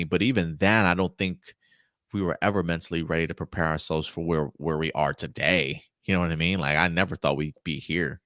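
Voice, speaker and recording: A man's voice: low-pitched, a bit bright, dry recording, clean studio sound. A man in his thirties hosting a live show in casual chat, talking in a rambling, hesitant way and correcting himself as he goes. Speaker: host of a live pop culture show. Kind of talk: casual chat, answering viewer questions.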